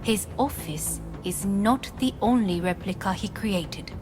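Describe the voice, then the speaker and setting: An actress plays a coldly formal, secretive corporate heiress in a video game. She speaks in measured, commanding phrases with an imperious composure. Faint music sits behind her voice. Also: mid-range voice, animated, close to an everyday voice. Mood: cool, guarded. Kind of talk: dramatic video game voice acting.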